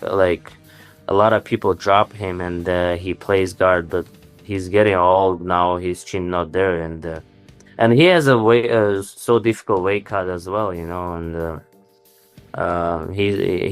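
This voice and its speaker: A young man, a native Armenian speaker, answering interview questions in halting English full of fillers like "uh" and "like". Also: low voice, clean tone. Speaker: interviewed fighter.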